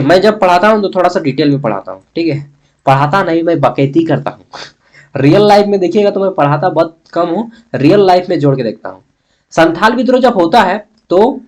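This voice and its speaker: A man with a Jharkhand Hindi accent teaches in an informal, conversational way. His voice sits a little high. He repeats key terms and puts questions to himself, then answers them. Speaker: history teacher. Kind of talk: informal exam-prep lesson.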